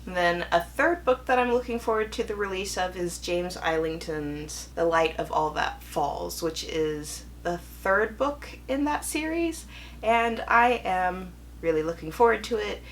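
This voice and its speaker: Lower-pitched young woman, chatty book vlogger. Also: expressive, measured pace, a little hiss or hum. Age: young adult. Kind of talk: casual chatty video to viewers.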